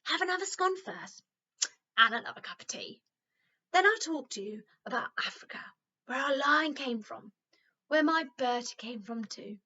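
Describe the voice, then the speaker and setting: A kindly old storybook lady, acted by a woman reader chats away merrily and reassuringly. Her voice is high and bright, with very lively swoops of pitch. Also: moderate pace, dull audio, full character voice. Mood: earnest, confiding. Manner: chatty, merry, reassuring.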